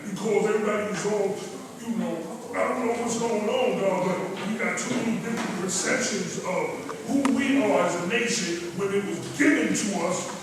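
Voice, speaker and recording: Man, high voice, emphatic, preachy, street slang, echoey hall, far mic, crowd murmur. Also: noisy background. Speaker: community group member. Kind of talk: impassioned speech at a meeting.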